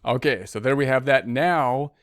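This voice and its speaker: A man's voice: mid-range voice, normal speed, clear, resonant tone, no room sound. A man narrating a screen-recorded spreadsheet tutorial as an accounting instructor, informally thinking aloud step by step into a close mic.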